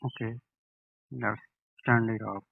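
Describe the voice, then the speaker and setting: A man presenting an unscripted phone app tutorial in halting, piecemeal phrases. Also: lowish voice, fairly even intonation, vocal fry, breathy edge, phone-quality sound. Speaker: YouTube tech presenter.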